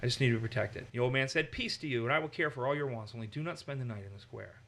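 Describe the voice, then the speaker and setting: Male Bible teacher, lively and bright, slips into voices to act out scenes. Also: medium pitch, rapid-fire. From Massachusetts. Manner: animated, acts out quotes in raised voices. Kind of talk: conversational Bible study.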